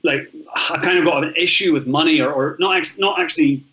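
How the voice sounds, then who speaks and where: A man's voice: a little high, a little fast, sharp tone, rough recording. A man in his thirties with a Northern Irish accent, telling his life story as a podcast guest in a chatty, rambling way with frequent restarts. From Northern Ireland. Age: 30s. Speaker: podcast guest, travel blogger. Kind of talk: casual storytelling interview.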